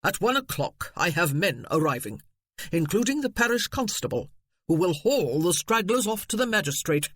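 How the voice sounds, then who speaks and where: A man's voice: high voice, sing-song, normal speed, fairly bright tone, no room sound, clean studio sound, full character voice. A man putting on a pompous, blustering cousin. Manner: pompous, blustering, then fawning. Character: pompous blustering male cousin, middle-aged.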